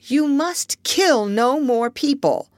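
A kindly old doctor lays down the law slowly and firmly in a storybook reading, as a woman puts him on. His voice runs high and lively, rising on each command. It is a dry, close recording. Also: no echo. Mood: stern. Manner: slow, firm and earnest.

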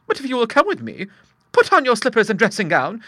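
A man voicing an excitable elderly spinster with a high, thin voice and breathless, exclamatory manner. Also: a little fast, dry recording, exaggerated voice. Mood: fretful. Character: excitable elderly spinster woman, nervous and indignant.

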